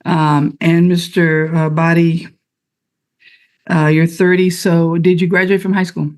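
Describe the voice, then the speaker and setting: A woman's voice: on the low side, little pitch movement, unhurried, a little mellow. A woman judge in a courtroom questioning a father directly and probingly about his background.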